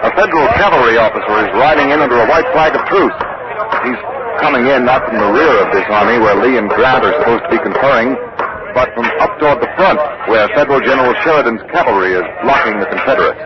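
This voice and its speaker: A man's voice: mid-range voice, quick pace, muffled recording, lightly acted. Man acting an old-time radio reporter, very noisy recording. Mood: excited, watchful. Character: earnest, eager male war correspondent reporting live. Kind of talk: 1940s live radio news reporting.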